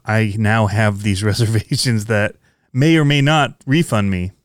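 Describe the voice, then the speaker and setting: A man's voice: lowish voice, a little slow, no room sound. Upbeat podcast host man, dry close mic. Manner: upbeat, laughs often. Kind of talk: casual chat.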